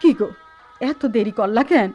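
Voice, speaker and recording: A woman's voice: on the high side, expressive, moderate pace, a little mellow, muffled recording, faint music behind. A woman playing an anxious middle-aged village wife and mother of a bride, with a Bangladeshi rural dialect, in a radio drama. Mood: urging. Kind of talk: Bengali radio drama acting.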